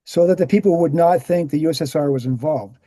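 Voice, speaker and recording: Man, slightly high pitch, soft dark tone, dry sound, assured explainer, scripted drama acted. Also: quick pace, no echo, close to an everyday voice. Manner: didactic, assured. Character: Gary, confident explanatory man debunking assassination myths. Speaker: actor in a screenplay table read. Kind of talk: scripted dialogue, lecturing explanation.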